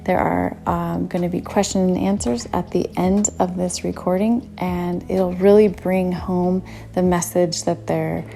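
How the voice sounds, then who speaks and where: Mellow-voiced female host, gushing and warm in tone. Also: lowish voice, flat delivery, measured pace, gravelly, few pauses, soft background music. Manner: effusive, repeats words. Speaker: interview host, art school founder. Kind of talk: casual interview.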